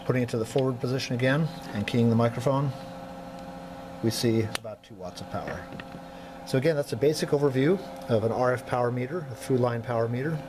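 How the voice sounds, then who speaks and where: A man giving a methodical hands-on demonstration of electronics test equipment as an instructor, clearing his throat now and then, at a workbench indoors. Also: mid-range voice, fast talker, background noise. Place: indoor workroom.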